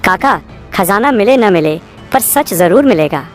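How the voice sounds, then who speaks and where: A woman's voice: medium pitch, lively intonation, unhurried, soft background music, close to an everyday voice. A woman voicing a brave, curious young male adventurer in a horror cartoon, with an earnest manner. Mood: confident.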